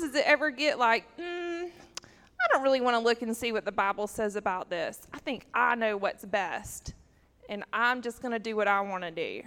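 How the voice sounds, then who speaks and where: A woman leads a children's sermon, talking into a microphone in a church sanctuary and peppering the kids with questions. Her voice sits a touch high, and a long reverb trails after it. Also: pushed and bright. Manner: chatty, asks children questions.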